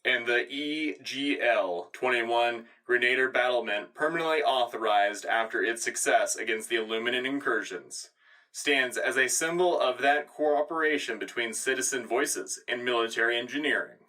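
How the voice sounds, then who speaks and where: An actor playing an earnest, patriotic wartime news anchor reading a formal, scripted sci-fi radio broadcast. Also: male voice, medium pitch, normal speed, bright, forceful tone, far from the mic, lightly acted, rough recording. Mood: proud, official. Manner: formal, announcer-like. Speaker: radio co-host.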